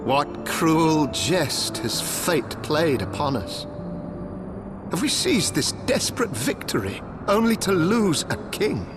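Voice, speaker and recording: A man's voice: a little high, sing-song, takes it slowly, music in the background. A man playing an earnest, loyal knight in a fantasy video game, speaking to his lord in formal, impassioned archaic speech. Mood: anguished.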